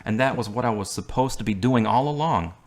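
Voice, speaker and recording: A man playing a wounded, guarded young man who speaks in a flat, terse way. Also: medium pitch, brisk, natural voice.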